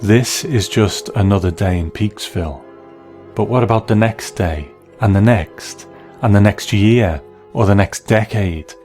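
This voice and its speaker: Low-voiced man narrating over music. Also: measured pace, a little mellow. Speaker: show narrator. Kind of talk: scripted narration.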